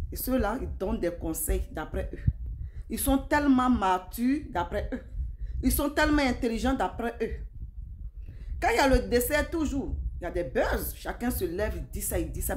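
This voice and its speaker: A woman's voice: fairly high pitch, slight background noise. A woman giving informal video commentary, speaking emphatically, repeating herself and addressing her viewers directly. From Africa. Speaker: social media commentator.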